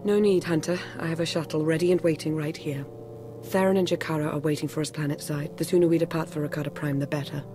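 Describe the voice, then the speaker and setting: An actress playing a composed, calculating intelligence agent in a video game, formal and precise. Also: female voice, deep voice, steady tone, quick pace, over music, natural voice. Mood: calm assurance. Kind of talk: video game character acting.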